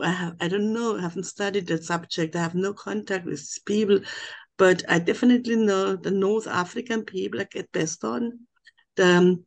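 A woman's voice: fairly low pitch, gravelly, darkish tone. An older woman with a German accent, a native German speaker, telling a long personal account on a video call. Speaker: webinar participant.

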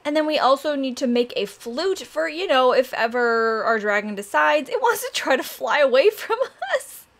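A young woman hosting a Minecraft let's play, chatting excitedly to viewers while her voice is raspy from allergies and she keeps clearing her throat. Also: high-pitched, normal speed. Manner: chatty, excitable, addresses "you guys" often. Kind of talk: casual gameplay commentary.

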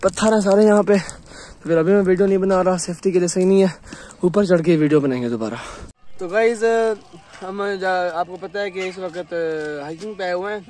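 A man's voice: sits high, fairly quick, a little mellow. Young Pakistani man vlogging on a hike. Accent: Pakistani Urdu. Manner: chatty, walking and climbing while talking. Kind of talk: casual hiking vlog narration. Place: outdoors on a wooded hill trail. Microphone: handheld phone camera.

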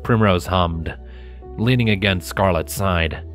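A man narrating a scripted story in a measured, expressive storytelling manner, close to the microphone. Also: low-pitched, soft background music.

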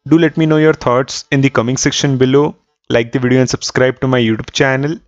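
A man hosting a step-by-step software tutorial, explaining methodically and repeating key points. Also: medium pitch, steady tone, a little fast, no echo, muffled recording. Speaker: tutorial host.

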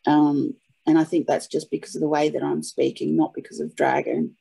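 A woman's voice: low voice, flat delivery, brisk, gravelly, dark tone, breathy edge. A woman taking part in a webinar, casually describing her own experience. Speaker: webinar participant, audio typist.

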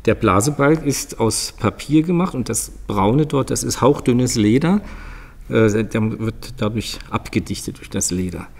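A man's voice: mid-range voice, lively intonation, a little slow, gravelly, darkish tone. A man in his fifties from Berlin, explaining in a matter-of-fact way as an organ builder showing his work. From Berlin, Germany. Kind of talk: informal explanatory demonstration to camera. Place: large museum hall, indoors. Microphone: lapel mic. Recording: long reverb of a large hall.

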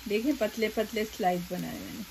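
A woman's voice: medium pitch, a little fast, vocal fry, a little mellow, slight background noise, low-quality recording. A middle-aged woman hosting a cooking channel, talking viewers through a recipe step by step in a chatty, direct way from her home kitchen.

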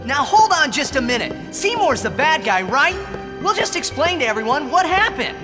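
A brash young video game hero. He sounds youthful and casual, quick to protest, with a lively, swinging delivery. Music plays behind him. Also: very high-pitched. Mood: indignant protest. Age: young adult. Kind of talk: video game cutscene acting.

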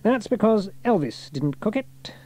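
Man acting a fussy station officer, raised pitch. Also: big pitch swings, measured pace, darkish tone, heavily acted. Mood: matter-of-fact. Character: older male fire station officer, fussy but kindly.

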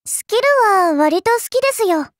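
A woman's voice: very high voice, expressive, normal speed, no room sound, clean studio sound. A woman voicing a small AI servant girl in an anime mobile game, sweet and polite with a prim, smug edge. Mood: smug, appraising.

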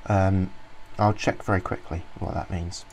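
A man casually talking through a software demo, rambling with many fillers and restarts. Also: low-pitched, level tone, unhurried, vocal fry. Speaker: software reviewer. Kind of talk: informal screen-recorded walkthrough.

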